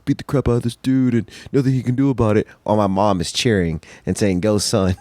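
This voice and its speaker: A soft-spoken young man who calls his own voice high-pitched, chatting casually as a podcast guest. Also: steady tone, quick pace, no echo. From North Carolina. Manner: soft-spoken, laughs often.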